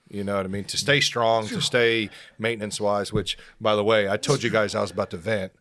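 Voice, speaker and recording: A man's voice: fairly low pitch, a bit bright. Casual profane male podcast host. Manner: loose and profane, laughs often. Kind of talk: casual chat.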